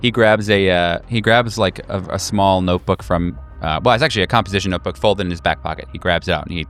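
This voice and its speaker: Low voiced guy game mastering, halting casual narration, faint music behind. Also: a bit bright. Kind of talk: improvised tabletop horror narration.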